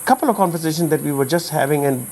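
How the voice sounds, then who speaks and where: A man from Pakistan, a native Urdu speaker, chatting casually, giving frequent backchannels and climbing high in pitch when excited. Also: very fast. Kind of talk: casual conversation.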